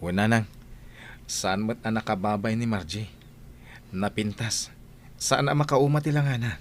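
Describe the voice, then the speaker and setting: A man's voice: fairly low pitch, normal speed, lightly acted. A man playing a sincere, devoted and mild suitor in an Ilocano radio comedy drama, with an Ilocano accent. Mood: mild, defensive.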